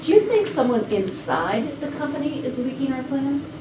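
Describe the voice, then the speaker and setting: A woman's voice: sits high, big pitch swings, moderate pace. A woman playing a dutiful, wary assistant to a company boss in a staged comedy reading. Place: rehearsal room. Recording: noisy echoey room, distant mic.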